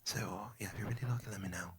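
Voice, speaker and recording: Young male ASMR creator, put-on British accent, soft rambling whisper, low voice with a bright edge. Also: fairly even intonation, rapid-fire, slightly rough.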